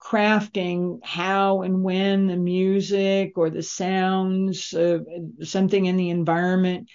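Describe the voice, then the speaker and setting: A woman hosting a conversational interview as the interviewer, speaking in a measured way. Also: on the low side, level tone, a little mellow, clear, resonant tone. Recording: dull lo-fi audio.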